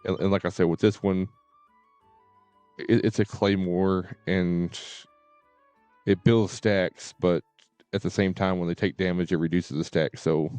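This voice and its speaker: A man with a low, smooth voice gives casual game commentary on a stream. He speaks haltingly, often doubling back on his words. The sound is close and dry, with music playing behind him. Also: fairly even intonation, a little mellow, no echo. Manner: halting, repeats and stutters on words.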